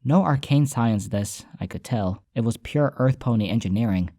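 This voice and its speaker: Guy narrating a story in first person, soft dark tone, mid pitch, music underneath. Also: moderate pace, no room sound, studio quality. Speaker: audiobook narrator. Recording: narration over soundtrack music.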